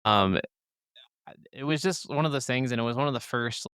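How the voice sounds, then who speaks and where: A man's voice: mid-range voice, moderate pace, fairly bright tone, clean tone, dry recording, studio quality. A man in his thirties co-hosting a podcast in casual conversation. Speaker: podcast co-host. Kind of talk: casual chat.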